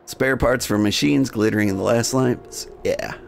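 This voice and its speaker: A man hosting a game livestream, switching between jokey, rambling chat and reading game text aloud in a gravelly voice. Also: mid-range voice, normal speed. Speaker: game streamer and host. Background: music.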